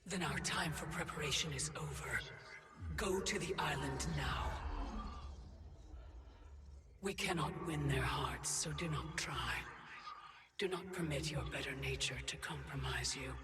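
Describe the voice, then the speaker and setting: A woman's voice: sits low, normal speed, vocal fry. A woman voicing a commanding, ruthless mother goddess who schemes sternly in a video game cutscene. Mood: stern, urgent. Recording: very loud music behind the voice.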